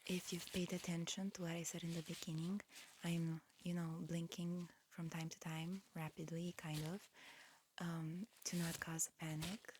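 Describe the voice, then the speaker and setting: A woman softly whispering an ASMR roleplay, explaining slowly and carefully as a calm, attentive examiner. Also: fairly low pitch, slight background noise. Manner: soft, hushed whispering with slow, careful explanations. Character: calm, attentive alien examiner disguised as a human. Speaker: ASMR roleplay performer playing an alien examiner. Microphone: close ASMR microphone.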